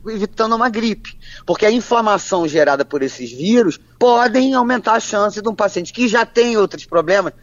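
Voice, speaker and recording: A woman, a physician answering interview questions in a measured, plain way with frequent restarts. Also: mid-range voice, lively intonation, no room sound. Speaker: physician guest. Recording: dull, lo-fi, dry sound.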